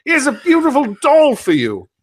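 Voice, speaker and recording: A man playing a genial, avuncular gentleman detective handing out Christmas gifts in an old-time radio comedy. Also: extremely high pitch, wildly swooping pitch, moderate pace, exaggerated voice. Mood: jolly, avuncular.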